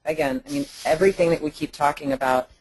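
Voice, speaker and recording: A man's voice: fairly high pitch, fast talker, husky, poor audio. A man co-presenting on a conference call in an informal, enthusiastic promotional style, repeating words for emphasis. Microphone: telephone conference call.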